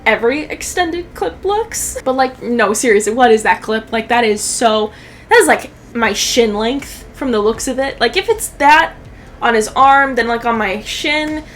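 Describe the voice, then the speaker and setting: A woman's voice: fairly high pitch, measured pace, a bit bright, a little hiss or hum. A young woman giving casual, sweary, joking reaction commentary full of slang, as a YouTube commentator. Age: young adult.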